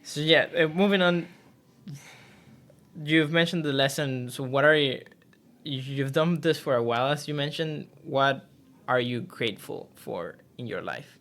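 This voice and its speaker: Male podcast host, bright forward voice, mid pitch, casual interviewing. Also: a little slow. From Venezuela. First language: Spanish.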